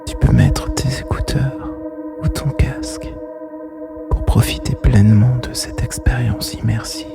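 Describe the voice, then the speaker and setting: A man whispering softly and intimately in an ASMR style, soothing and gentle, close to the microphone. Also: mid-range voice, wildly swooping pitch, measured pace, over loud music. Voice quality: breathy, mostly unvoiced whisper. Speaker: host of a whispered relaxation podcast. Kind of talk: ASMR whispered guided relaxation monologue. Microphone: close microphone, made for headphones.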